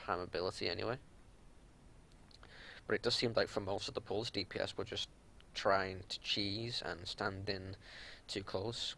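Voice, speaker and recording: A man with a fairly low, creaky voice talks through a gameplay review off the cuff. He sounds like a raid leader, matter-of-fact and a little exasperated as he explains. There is some background noise with a low rumble. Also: a bit bright. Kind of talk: unscripted game analysis commentary.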